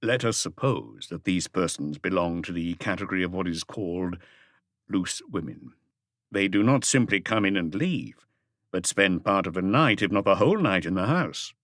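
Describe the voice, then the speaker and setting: Man playing a low-voiced, probing police detective. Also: animated, fairly bright tone, no room sound, clean studio sound. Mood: tactful, probing. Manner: formal, deliberate, probing. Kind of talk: audiobook character dialogue.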